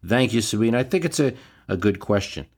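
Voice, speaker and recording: An American man hosting a podcast, explaining and giving his views in a measured, emphatic solo commentary. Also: lowish voice, animated, darkish tone. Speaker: history and politics podcast host.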